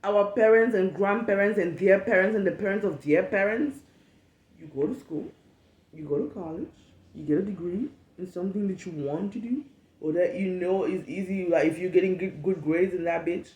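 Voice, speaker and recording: A woman's voice: lowish voice, moderate pace, a little mellow, reverberant, rough recording. A woman in her late twenties from Cameroon with a Cameroonian accent, hosting a candid solo podcast, frank and emphatic with repetition. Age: late 20s. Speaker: podcast host.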